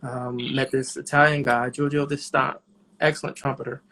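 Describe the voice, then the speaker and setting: A man in his thirties, a jazz trumpeter, talking as a guest in a casual interview in a relaxed, reflective way with many pauses. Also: mid-range voice, creaky, a little hiss or hum, poor audio. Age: 30s.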